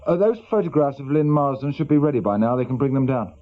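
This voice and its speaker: Man acting brisk police detective, lively pitch. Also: close to an everyday voice. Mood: businesslike. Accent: English. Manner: terse, authoritative questioning. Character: brisk, probing male senior police detective in charge. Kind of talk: naturalistic British radio crime drama acting. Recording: dull, lo-fi radio drama recording.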